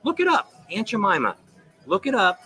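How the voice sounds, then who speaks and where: Rambling man, bright voice. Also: high-pitched, normal speed. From United States, near Saint Louis. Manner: rambling, repeats himself, animated opinions. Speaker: show host. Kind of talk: casual opinionated chat. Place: live show at a restaurant.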